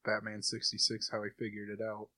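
Blunt man with a bright, forceful voice. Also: fairly low pitch, monotone, fairly quick, no room sound. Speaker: podcast co-host. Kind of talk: casual chat.

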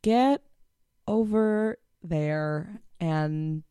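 A woman guesting on a podcast, chatting with bemused, deadpan humor. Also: on the low side, drawn-out words, clear, resonant tone. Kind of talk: casual chat.

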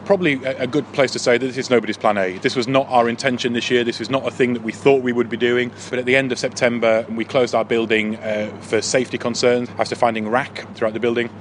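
A man's voice: medium pitch, steady tone, quick pace, hardly any pauses. A man giving an informal, explanatory interview on location as an official, repeating words as he goes. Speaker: council head of leisure, interview guest. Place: inside a big top tent. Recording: faint on-location background noise.

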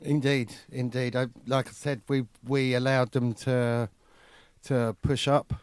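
A man's voice: medium pitch, level tone, measured pace, smooth. A man in his fifties co-presenting sports radio, with a dry, teasing sense of humour. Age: 50s. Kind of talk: casual sports radio chat.

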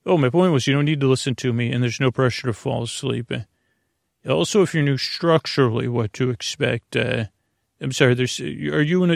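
Male sleep-podcast host, mid-pitched with vocal fry, meandering and halting delivery, recorded close and dry. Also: no echo. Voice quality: creaky. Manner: hesitant, meandering, self-interrupting with many tangents. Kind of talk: rambling bedtime monologue to help listeners fall asleep.

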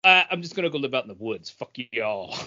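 Animated guy swapping nostalgic stories on a podcast, voice goes up and down a lot, slangy, slightly dull audio. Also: mid-range voice, fast talker. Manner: animated, slangy, acts out quotes. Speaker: podcast co-host. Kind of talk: casual chat, nostalgic anecdotes.

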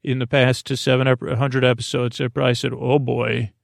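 A man with a creaky voice hosting a sleep podcast, giving a halting, meandering, absurd monologue that keeps trailing off and restarting. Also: medium pitch, little pitch movement, dry recording, clean studio sound. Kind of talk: rambling, absurd bedtime monologue.

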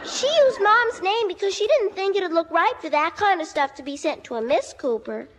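A woman's voice: very high-pitched, lively intonation, moderate pace. A female performer playing a chatty, blunt young daughter in a 1950s radio comedy. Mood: blithe.

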